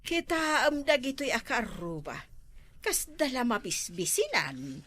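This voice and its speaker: An Ilocano woman acting a nosy, talkative wife in a comedy drama. Her voice is bright and fairly high, with lots of swing in the pitch. The sound is close and dry. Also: very animated, a little slow, no echo. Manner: chatty, nosy and teasing. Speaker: gossiping wife and mother.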